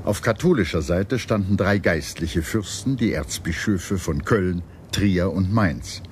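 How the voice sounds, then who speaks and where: A man with a very deep voice narrates a historical documentary from a script. His delivery is measured and declarative, like formal storytelling. Noticeable ambient noise sits behind the voice. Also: lively intonation. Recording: clear background noise and ambience.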